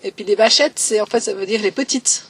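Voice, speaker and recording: A woman's voice: medium pitch, fairly quick, husky, far from the mic. A woman, giving a personal testimony in a hesitant way, with many fillers and phrases that trail off. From Valais, Switzerland. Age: 20s. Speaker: interviewee.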